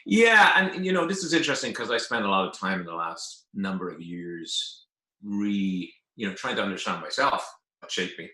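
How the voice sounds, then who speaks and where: A man's voice: sits low, lively intonation, normal speed, pushed and bright. A man in his fifties with an Irish accent, telling his personal story as an interview guest in a conversational way. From Ireland. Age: 50s. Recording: roomy, echoing sound.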